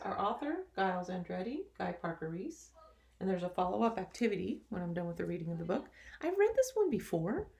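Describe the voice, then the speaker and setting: A woman, a teacher, reading a picture book to children expressively, with gentle sympathetic asides. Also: mid-range voice, gravelly, slight background noise. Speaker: teacher reading a storybook to pupils.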